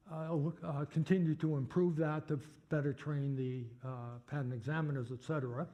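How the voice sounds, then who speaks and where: An older man with a Boston accent, answering audience questions at a lecture in a halting way with many fillers. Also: a little high, warm tone. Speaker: guest speaker.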